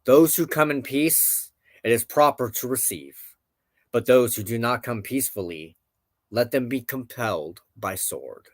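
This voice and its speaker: A man's voice: medium pitch, expressive, a little slow, no room sound. A man reading as a commanding, honourable prince. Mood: stern. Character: victorious disguised prince, noble, commanding, honourable. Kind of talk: storybook character dialogue in narration.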